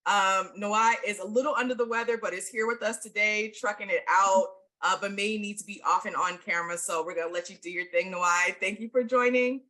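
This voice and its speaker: A woman's voice: medium pitch, fairly even intonation, brisk, hardly any pauses, sharp tone. A woman hosting and moderating an online panel discussion, introducing the guests in an enthusiastic, casual way with frequent fillers. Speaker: host, moderator.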